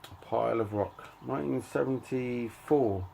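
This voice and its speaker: A middle-aged man giving a casual show-and-tell of his collection, talking in a halting, offhand way and reading out titles in short bursts. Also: medium pitch, creaky, warm tone, slight background noise. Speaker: record collector and channel host.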